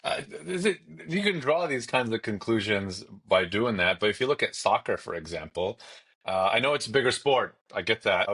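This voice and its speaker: A man's voice: fairly low pitch, a bit bright. A man giving opinionated commentary in a casual, conversational sports discussion.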